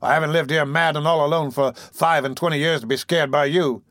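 A man's voice: fairly high pitch, fairly even intonation, a little fast, fairly bright tone, dry recording, studio quality, voice pushed up. A man voicing a spiteful, raging old hunchbacked man in an audiobook, sneering and menacing. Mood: indignant rage. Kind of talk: audiobook character voice.

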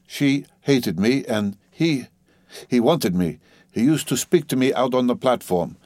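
A man voicing a desperate, ashamed young wife in an audiobook, pleading and halting as the words come out. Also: mid-range voice, animated, darkish tone, dry recording, lightly acted. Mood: halting, fearful confession. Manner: wailing, halting, gasping, repeating pleas. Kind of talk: dramatic audiobook character reading.

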